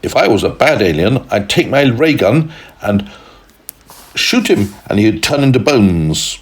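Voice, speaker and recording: A man's voice: lowish voice, big pitch swings, measured pace, pitched above the performer's own voice. A man voicing a polite, easily offended little green alien in a children's story. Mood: prim.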